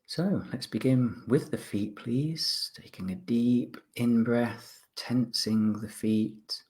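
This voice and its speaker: A man instructing listeners through a guided body scan relaxation, speaking politely in short phrases with pauses. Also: medium pitch, darkish tone.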